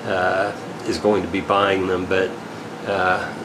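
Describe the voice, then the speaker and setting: Male, deep voice, unhurried halting delivery, casual dealer product update, matter-of-fact, clear steady background noise. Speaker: radio equipment dealer presenting products.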